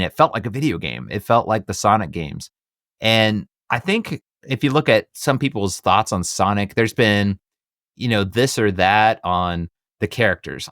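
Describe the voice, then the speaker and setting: Guy with a lowish voice hosting a movie podcast, chatty excited fan, dry close sound. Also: no room sound. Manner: enthusiastic fan, conversational. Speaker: podcast host. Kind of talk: casual film discussion.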